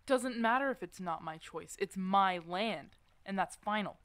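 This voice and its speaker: A woman's voice: medium pitch, measured pace, fairly bright tone, clear, resonant tone, no room sound, natural voice. A woman playing a protective, teasing werewolf mentor in an ASMR roleplay, casual and bossy but affectionate. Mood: stern, protective. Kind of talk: ASMR roleplay audio drama addressed to the listener.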